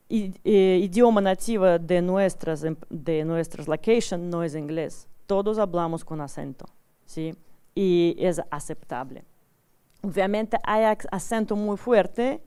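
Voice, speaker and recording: Non-native woman teacher with a strong accent, clear smooth voice, plain halting lecture delivery. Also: mid-range voice, darkish tone. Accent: strong foreign accent in Spanish. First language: not Spanish. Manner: simple, broken Spanish with frequent "¿sí?" checks and restarts. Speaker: English teacher giving a talk.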